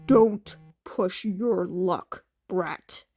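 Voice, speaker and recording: A woman voicing a gruff, impatient, weary older male hero who speaks curtly and bluntly in an audiobook story. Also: lowish voice, very slow, mellow, faint music behind, pitched below the performer's own voice. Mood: exasperated. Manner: curt, blunt, insistent. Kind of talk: audiobook character acting.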